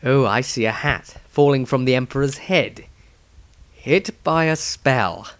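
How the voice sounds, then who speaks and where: A man voicing an elder male wizard magister who slowly declaims his visions of the future. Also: medium pitch, lightly acted. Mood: musing.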